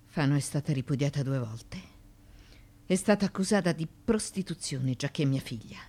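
An actress in a theatrical radio drama playing a proud, defiant former slave and courtesan who addresses judges in a declamatory, deliberate way. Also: female voice, sits low, lively intonation, slightly rough. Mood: solemn pleading. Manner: declamatory, deliberate, shifting between hushed and forceful.

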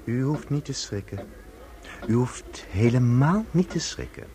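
An actor playing an officious, erratic inspector of lost souls in an absurdist radio play, lurching between soothing and bullying. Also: male voice, mid-range voice, animated, unhurried, noisy background, lightly acted. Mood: soothing, sinister.

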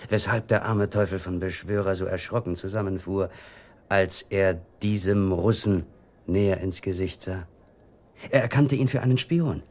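An actor playing a confident, reasoning gentleman with formal, measured speech in a German radio drama. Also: low voice.

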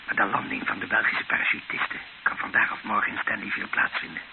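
An actor playing a grave, gravelly-voiced hostage in a radio drama. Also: male voice, on the low side, monotone, fast talker. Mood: grave, subdued. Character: grave male fellow hostage sharing news. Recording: noisy, narrow-band old radio recording.

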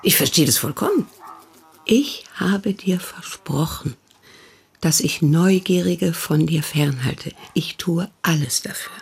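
A woman's voice: fairly low pitch, animated, slow pace, vocal fry, breathy edge, heavily acted. An actress playing an older, protective and prying sister who questions her brother reproachfully in a radio play. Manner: reproachful, probing, insistent.

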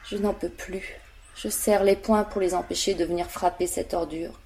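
A woman's voice: lowish voice, steady tone, normal speed, dark tone, lightly acted. An actress playing an anguished, grieving young village woman full of hatred, narrating her thoughts in a French audio drama. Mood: anguished, hateful. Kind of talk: French audio fiction monologue.

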